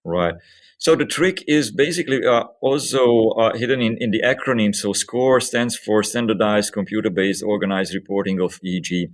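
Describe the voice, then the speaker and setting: A man giving explanatory answers as a physician researcher and guest in an interview. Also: deep voice.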